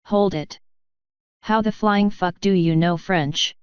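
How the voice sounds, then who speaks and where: Woman reader playing curious magic student, casual, blunt, clear lowish voice, fantasy storybook, dry recording. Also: slow pace, no echo, muffled recording. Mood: baffled.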